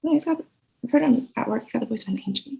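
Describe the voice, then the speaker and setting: A woman plays a blunt, foul-mouthed pal who talks sense. Her voice is husky and full of creak, with slangy, streetwise phrasing for a read-aloud comedy script. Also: fairly high pitch, lively intonation, very fast, warm tone, full character voice, rough recording. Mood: blunt, teasing. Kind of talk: screenplay table read acting.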